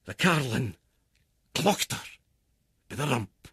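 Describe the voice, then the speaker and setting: A man reciting a narrative poem theatrically, swinging from loud outbursts to hushed whispers. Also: medium pitch, lively intonation, takes it slowly, slightly rough, dry recording, clean studio sound. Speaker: poetry reader. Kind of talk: dramatic poetry recitation.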